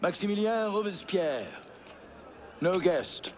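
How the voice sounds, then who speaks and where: A stiff, unbending palace doorman in a video game. He announces formally and curtly in a fairly high voice over faint outdoor ambience. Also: animated, takes it slowly, a little hiss or hum. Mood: curt, officious. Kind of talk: video game cutscene acting.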